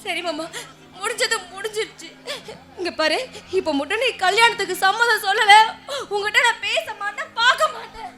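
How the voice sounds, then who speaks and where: A woman's voice: extremely high pitch, normal speed, music in the background. A woman playing a distraught, self-sacrificing and stubborn wife in an emotional, pleading melodrama. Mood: stubborn, sulking. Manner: emotional, pleading, often tearful. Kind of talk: melodramatic Tamil drama acting.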